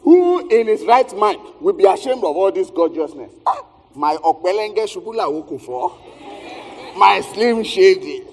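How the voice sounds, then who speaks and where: A male actor plays a flirtatious, shady West African hustler, full of theatrical bluster and sweet talk. The voice is high and slightly rough, ringing in an echoey theatre hall. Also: sing-song, moderate pace, a little mellow, full character voice. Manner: theatrical, exclaiming, sweet-talking. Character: blustering, flirtatious married man and shady drug dealer. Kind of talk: stage comedy-drama acting. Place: theatre stage. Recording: echoey theatre hall, distant stage sound.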